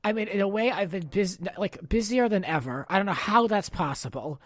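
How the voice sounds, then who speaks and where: A man's voice: sits high, lively intonation, fairly quick, fairly bright tone, no echo, clean studio sound. A man hosting a podcast in casual chat, upbeat and informal, often saying "like". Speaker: podcast host.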